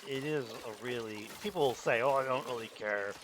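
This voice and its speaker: Relaxed rambling man streaming a game. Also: mid-range voice, a little fast, background noise. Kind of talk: casual commentary while gaming.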